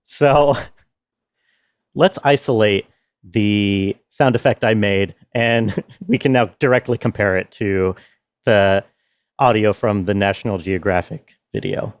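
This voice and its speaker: Slow-talking man, close dry recording. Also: fairly low pitch, clear, resonant tone, dry recording. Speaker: sound designer presenting own work. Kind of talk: behind-the-scenes walkthrough.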